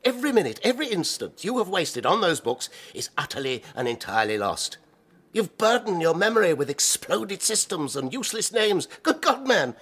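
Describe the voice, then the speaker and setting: A man's voice: fairly high pitch, sharp tone, slightly rough, exaggerated voice. A man playing a pompous, scornful professor in an audiobook drama, emphatic and exasperated. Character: blustering, scornful older male science professor.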